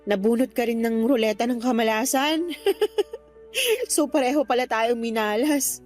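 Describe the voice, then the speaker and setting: A woman's voice: on the high side, steady tone, normal speed, a little mellow, soft background music, close to an everyday voice. A woman acting in a Filipino radio drama as a sick woman who jokes wryly with her best friend, with a Filipino accent. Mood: teasing, bittersweet. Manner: teasing, wry.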